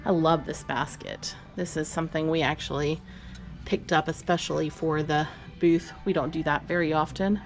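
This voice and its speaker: A woman's voice: low voice, steady tone, normal speed, soft background music. Chatty woman touring an antique mall. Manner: chatty and upbeat. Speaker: antique booth vendor. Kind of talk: walk-through tour vlog. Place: large indoor antique mall.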